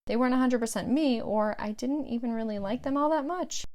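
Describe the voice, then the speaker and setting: Higher-voiced woman reading her audiobook. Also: brisk, a little mellow. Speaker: author narrating own audiobook. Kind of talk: scripted audiobook narration.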